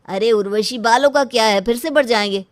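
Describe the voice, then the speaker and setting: A woman's voice: a little high, fairly quick, no room sound, natural voice. A woman voicing a dry-sounding, coaxing son. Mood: reasoning. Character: reasonable adult son and husband.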